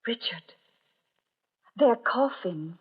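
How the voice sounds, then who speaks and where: An actress playing an anxious, fearful wife in an old-time radio drama, urgent and pleading, with a husky, breathy voice. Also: female voice, on the high side, expressive, very slow, exaggerated voice. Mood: alarmed, pleading. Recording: dull, muffled old radio recording.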